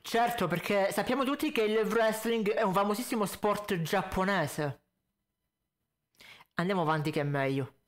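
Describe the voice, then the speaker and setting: A man's voice: high voice, normal speed. A man in his twenties doing critical reaction commentary into a close desk microphone, blunt, pedantic and sarcastic. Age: 20s. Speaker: reaction video host. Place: indoor home streaming setup. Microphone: close desk condenser mic with pop filter.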